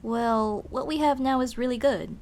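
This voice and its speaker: A woman playing a young tomboy childhood friend in an ASMR roleplay, speaking in casual boyish slang with hesitant pauses. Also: a little high, darkish tone, close to an everyday voice. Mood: flustered, hesitant. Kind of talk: ASMR roleplay, intimate one-sided dialogue.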